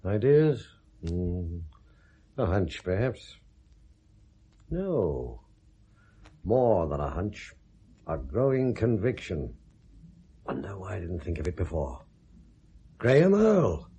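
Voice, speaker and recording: An actor playing a shrewd, cynical English investigator, musing sardonically with deliberate emphasis in a 1970s British radio drama. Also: male voice, deep voice, big pitch swings, dark tone, slightly rough, exaggerated voice. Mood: brooding, musing.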